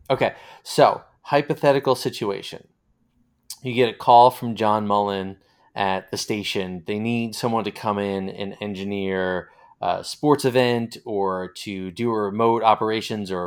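A man's voice: lowish voice, clean tone. A man interviewing a guest in a conversational style as the host.

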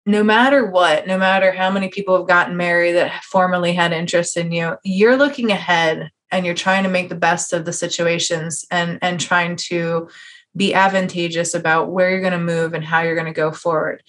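A woman's voice: on the low side, steady tone, moderate pace, fairly bright tone. Earnest, encouraging woman hosting a podcast. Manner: earnest, encouraging, talks at length. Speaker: podcast host. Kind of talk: conversational podcast interview.

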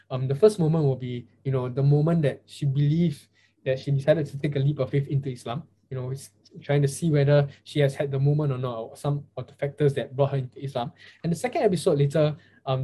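A man's voice: medium pitch, mellow. A man hosting a podcast, introducing the show and his guest in a friendly way with some filler words. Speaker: podcast host.

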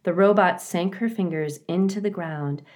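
A woman reading a children's book aloud as scripted narration, in a measured, expressive storytelling way. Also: fairly low pitch. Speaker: storybook reader.

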